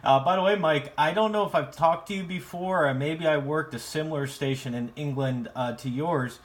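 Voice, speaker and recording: An American man chatting casually as an amateur radio operator, with halting, repeated phrasing. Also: a little high. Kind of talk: casual ham radio conversation.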